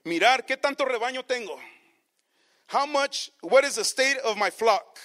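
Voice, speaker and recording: A man's voice: extremely high pitch, animated, measured pace, pushed and bright. A pastor preaching to his congregation, saying each line in one language and then repeating it in the other, and often calling his listeners brothers. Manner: keeps addressing the congregation as brothers. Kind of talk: bilingual sermon.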